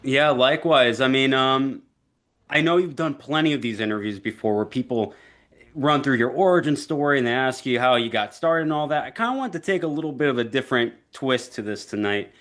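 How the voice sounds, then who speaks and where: A man hosts an interview, asking questions earnestly and at a measured pace, with a few fillers. His voice sits at an ordinary middle pitch. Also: fairly bright tone. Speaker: podcast host.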